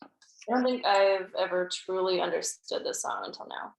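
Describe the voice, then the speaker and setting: A woman's voice: lowish voice, moderate pace, gravelly, echoey room, poor audio. A woman reading the part of a wry, guarded young woman at a screenplay table read, giving dry, understated replies.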